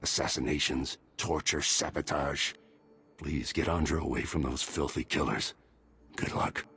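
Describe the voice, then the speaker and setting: An actor playing a stern, worried middle-aged army major and father in a video game, gruff and commanding. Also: steady tone, measured pace, pushed and bright, full character voice. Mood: grave, pleading. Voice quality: very deep, gravelly husky voice. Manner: gruff, commanding military delivery.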